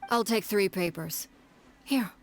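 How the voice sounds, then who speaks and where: An actress voicing a cold, menacing woman who leads a crime family in a video game, speaking in measured, formal, condescending lines. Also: medium pitch, natural voice. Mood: cool, formal.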